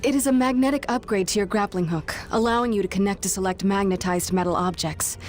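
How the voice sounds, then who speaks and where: An actress playing an earnest chief's daughter of a frontier tribe in a video game, composed and stiffly formal. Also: female voice, medium pitch, quick pace, a little mellow, soft background music, natural voice. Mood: grateful, formal. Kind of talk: video game character dialogue.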